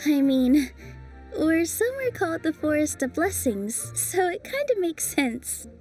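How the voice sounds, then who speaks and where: A young woman voicing a gentle, hopeful dancer who works magic, speaking softly and earnestly in a game cutscene. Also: high voice, very animated, measured pace. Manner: soft, earnest, encouraging. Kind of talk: video game cutscene dubbing. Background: faint game music.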